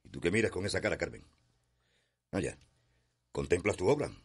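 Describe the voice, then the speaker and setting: Very husky, domineering Cuban patriarch. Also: male voice, deep voice, lively intonation, a little fast. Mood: angry, threatening. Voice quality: husky, rough. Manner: scolding, accusatory. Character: domineering, stern father, wealthy colonial patriarch. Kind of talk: radio drama acting.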